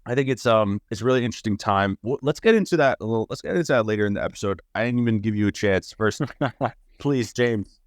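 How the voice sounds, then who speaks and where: A man's voice: fairly low pitch, lively intonation, quick pace, no echo, clean studio sound. A man hosting an interview podcast, asking loose, rambling questions and giving his own views. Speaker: podcast host.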